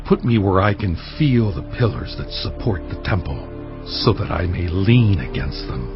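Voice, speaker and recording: An actor playing a strong biblical hero brought low, solemn and pleading in an audio Bible drama. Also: male voice, sing-song, moderate pace. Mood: weary pleading. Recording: dull sound over loud music.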